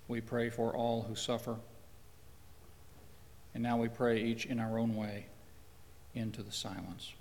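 A man leading the congregation in prayer, speaking softly and solemnly. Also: medium pitch, monotone, long reverb tail, slight background noise. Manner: soft, slow, solemn. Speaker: worship leader. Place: church sanctuary.

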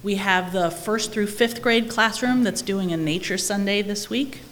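A hoarse woman giving upbeat church announcements at a microphone, listing details. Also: medium pitch, a little slow, fairly bright tone. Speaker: religious education coordinator. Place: church sanctuary. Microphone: microphone at a lectern. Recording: long reverb of a church hall.

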